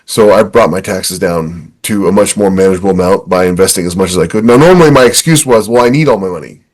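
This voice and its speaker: A man's voice: low voice, fast talker. A man in his fifties giving casual, emphatic, explanatory advice in a conversational chat. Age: 50s. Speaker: podcast co-host.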